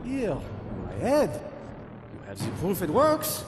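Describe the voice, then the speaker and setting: Grandiose scientist villain, echoing. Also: male voice, high voice, huge pitch swings, slow, exaggerated voice. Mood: pleading. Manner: theatrical, pompous, formal. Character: grandiose male scientist villain, messianic and pleading. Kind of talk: video game villain acting. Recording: echoey reverberant hall with music behind.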